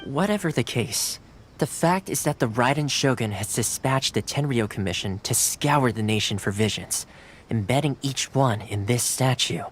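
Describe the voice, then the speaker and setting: A man voicing a polite, composed retainer who explains things in a measured way. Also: mid-range voice, lively intonation, a little hiss or hum, lightly acted. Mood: calm. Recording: game audio with clear music behind.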